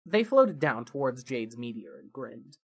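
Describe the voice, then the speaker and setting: A narrator reading scripted fan fiction as a dramatic story, with deliberate pauses. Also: mid-range voice, sing-song.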